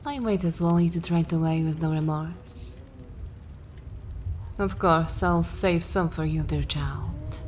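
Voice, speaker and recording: A woman playing an ancient, haughty vampire mistress in a dark fantasy ASMR roleplay, languid and theatrical with disdain, lapsing into whispers. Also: lowish voice, slow pace, a little hiss or hum. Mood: darkly playful.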